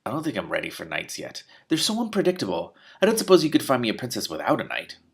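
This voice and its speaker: Young storybook dragon, voiced by a man: timid, aggrieved, uneasy, lively swings in pitch. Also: fast talker, vocal fry, breathy edge, exaggerated voice. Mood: uneasy, plaintive. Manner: plaintive, uneasy, grumbling.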